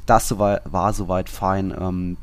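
A man's voice: low voice, little pitch movement, takes it slowly. A man hosting a football podcast, talking through results and statistics in a detailed, enumerating way. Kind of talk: sports podcast talk, running through statistics.

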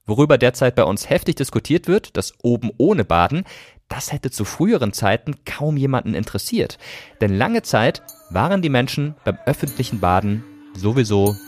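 A man's voice: mid-range voice, sing-song, normal speed, a little mellow, no echo. A man hosting a podcast, moving between scripted narration and interview questions. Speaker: podcast host.

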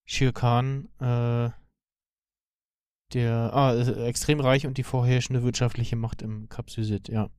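A man co-hosting a podcast, chatting casually and haltingly as he searches for words. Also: medium pitch, little pitch movement, darkish tone, clean tone, no room sound, studio quality. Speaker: podcast co-host. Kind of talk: casual chat about cartoons.

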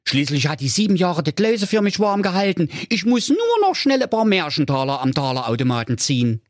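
A man doing a high, eager, simple-minded fellow. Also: sing-song, brisk, dry recording, clean studio sound. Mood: musing. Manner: high, eager and good-natured. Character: naive cheerful simpleton man on a swapping journey.

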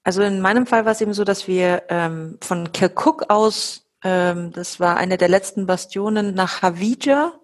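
A woman's voice: medium pitch, normal speed, hardly any pauses. A woman logistician talking through her fieldwork in a podcast conversation, explaining with many fillers and restarts.

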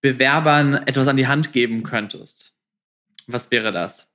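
A man with a medium-pitched voice hosts a casual interview podcast. His talk is colloquial and direct, addressing guest and listeners plainly with English business slang mixed in. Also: a little slow, a bit bright. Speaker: podcast host.

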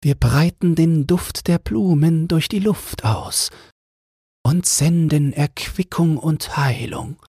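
A man voicing a gentle, solemn female air spirit who speaks slowly and consolingly in a fairy tale. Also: on the high side, husky, no room sound, clean studio sound, pitched above the performer's own voice, heavily acted.

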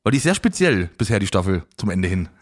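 A man's voice: low-pitched, very animated, fast. A man co-hosting a podcast, chatting casually and rambling with lots of restarts. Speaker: podcast co-host. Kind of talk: casual chat.

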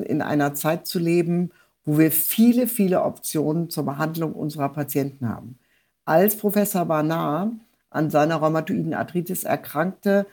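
An older woman, a rheumatology professor, giving reflective expert commentary, deliberate and repeating words for emphasis. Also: low voice, mellow.

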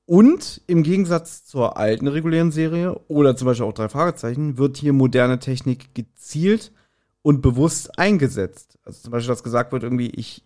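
Man, mid-pitched voice, podcast co-host, casual, colloquial, explanatory. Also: a little mellow.

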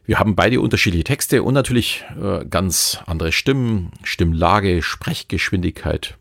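Deep, gravelly Bavarian man. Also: animated, moderate pace, a bit bright, dry recording, clean studio sound. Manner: rambling, loose and chatty with many fillers. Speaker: podcast host and hypnotist. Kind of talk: casual podcast talk.

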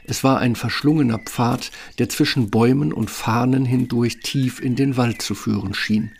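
Gravelly male fairy-tale narrator. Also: medium pitch, fairly even intonation, normal speed, slight background noise.

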